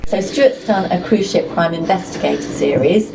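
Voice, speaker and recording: A woman's voice: fairly low pitch, moderate pace, a loud crowd, rough recording. A woman asking questions as an interviewer in a conversational style. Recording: clear ambient noise from live event.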